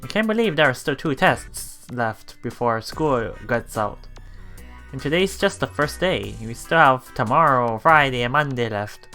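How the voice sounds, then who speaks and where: A male let's player reads visual novel narration aloud and adds casual comments. His delivery is halting and amused, at a medium pitch. Soft game music runs underneath. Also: expressive. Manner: halting, chuckles through his reading. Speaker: let's play streamer. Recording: voice over game background music.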